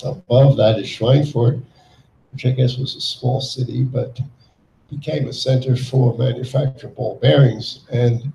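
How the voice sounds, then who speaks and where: An elderly man in his eighties gives a halting, reflective public talk as a guest speaker, with many pauses and restarts. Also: medium pitch, fairly even intonation, vocal fry, mellow, a little hiss or hum. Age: 80s. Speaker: Holocaust survivor guest speaker. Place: event hall with audience. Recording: echoey hall, mic from a distance.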